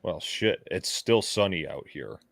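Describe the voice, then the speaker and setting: Jokey low-voiced male co-host. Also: big pitch swings, measured pace, creaky, no echo. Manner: jokey, laughs often. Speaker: podcast co-host. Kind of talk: casual chat.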